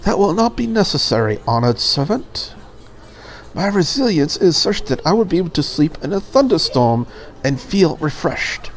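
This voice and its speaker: Visual novel dragon girl ambassador, played by a woman: proud, rigid, formal, grandiose, with a lively pitch range. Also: moderate pace. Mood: stoic, formal. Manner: stiff, formal, solemn declarations. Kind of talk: visual novel character read aloud.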